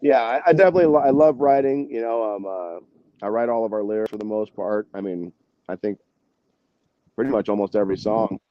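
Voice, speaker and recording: A man's voice: lowish voice, no room sound, highs cut off. A man talking casually as an interview guest, a singer telling stories in a rambling, slangy, salty way. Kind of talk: casual interview chat, anecdotes.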